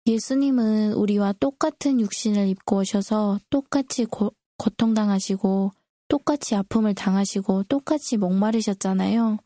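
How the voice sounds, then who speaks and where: A woman's voice: mid-range voice, moderate pace, mellow, no echo. A woman speaking politely and reflectively as a co-host in a prepared-sounding Bible discussion. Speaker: co-host discussing scripture with a pastor.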